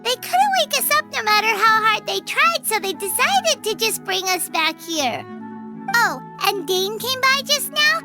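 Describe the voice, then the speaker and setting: Tiny fairy sidekick, very high squeaky child voice, swooping intonation, perky, video game. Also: female voice, big pitch swings, a little slow, heavily acted. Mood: informative. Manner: refers to self in third person, perky. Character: small childlike chirpy fairy companion, female. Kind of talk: video game character acting. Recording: music playing behind the voice.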